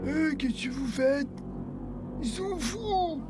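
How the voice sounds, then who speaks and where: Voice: high-pitched, animated, slow pace. A performer voicing a friendly, naive, anxious talking fish in a cartoon, simple and earnest. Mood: alarmed. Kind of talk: cartoon dub in French. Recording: music behind the voice.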